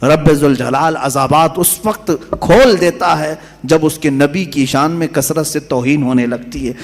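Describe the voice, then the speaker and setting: Impassioned male preacher in echoing hall. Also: on the high side. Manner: impassioned, rising and falling oratory. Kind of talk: sermon.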